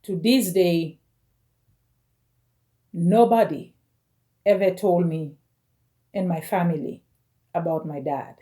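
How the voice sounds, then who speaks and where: Slow-speaking woman in her forties. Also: lowish voice, mellow. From Caribbean. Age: 40s. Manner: slow, halting, phrase by phrase. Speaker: vlogger. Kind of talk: personal video message.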